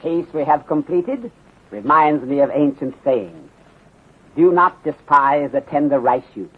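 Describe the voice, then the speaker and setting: A calm detective inspector with a put-on Chinese accent, speaking in measured, formal, clipped sentences. His husky voice comes through a narrow, phone-like 1930s radio recording. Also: on the high side. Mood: sage, reflective.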